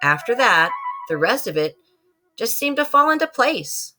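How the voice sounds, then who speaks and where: A woman narrates a children's storybook in a very lively, swooping voice. She acts out the story as she reads, with a musical soundscape clearly playing behind her. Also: fairly high pitch, a little slow, faint music behind. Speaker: librarian reading a picture book aloud to students.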